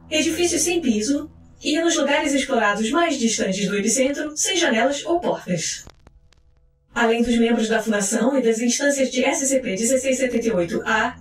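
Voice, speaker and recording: A woman reads scripted documentary-style narration in a formal, measured, clinical manner. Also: on the high side. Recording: echoey voice track over music. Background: music.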